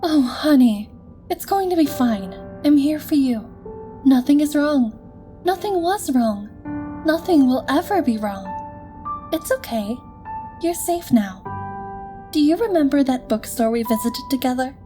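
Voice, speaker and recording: Woman acting a high-voiced, tender, eerie girlfriend over music. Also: lively intonation, measured pace, pauses often. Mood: soothing. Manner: gentle, coaxing, intimate. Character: tender, soothing girlfriend who is eerily not quite real. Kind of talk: audio drama acting. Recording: music under the voice. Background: background music.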